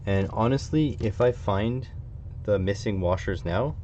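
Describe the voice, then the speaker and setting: A Canadian man with a fairly low voice talks casually to his live stream while he works. He rambles and thinks aloud. The recording is a little dull, with faint noise in the background. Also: unhurried, dark tone. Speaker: hobbyist electronics streamer.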